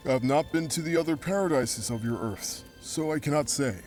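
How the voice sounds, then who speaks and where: A man's voice: on the high side, moderate pace, darkish tone, faint music behind, natural voice. A man playing a noble, courteous ancient ghost guide in an audio drama, speaking in grave, formal, archaic phrases. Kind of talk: audio drama character acting.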